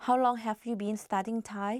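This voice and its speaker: A woman reading example questions for a language lesson in a clear, measured way. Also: mid-range voice, level tone, no room sound, clean studio sound. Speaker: co-presenter reading example phrases. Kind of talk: scripted phrase reading.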